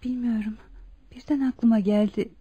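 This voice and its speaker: A woman's voice: fairly high pitch, steady tone, normal speed, dark tone, slightly rough, dry recording. A woman playing a dazed, anguished patient with memory loss in a radio drama, speaking in a faltering, plaintive, repetitive way. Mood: confused. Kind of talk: Turkish radio theatre acting. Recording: muffled, dull radio-play recording.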